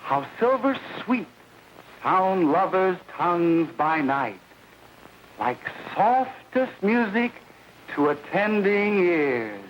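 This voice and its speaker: An actor playing a wholesome, bashful high school boy in love, with boyish gee-whiz manners, in 1930s film style. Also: very animated, takes it slowly, highs cut off, heavily acted. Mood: tender, romantic. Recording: hissy 1930s film soundtrack.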